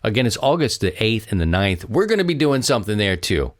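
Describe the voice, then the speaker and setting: Man, low-pitched voice, podcast host, casual solo talk, rambling and conversational. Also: sing-song, fairly quick, no echo, studio quality. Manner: rambling, conversational, addresses listeners as friends.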